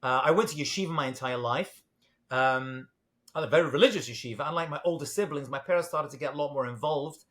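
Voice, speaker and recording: Middle-aged Londoner with a British accent, a bit higher voice, casual guest talk. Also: male voice, fast, a bit bright. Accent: British English, London. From England. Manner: self-deprecating, jokey, with fillers. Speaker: rabbi, guest.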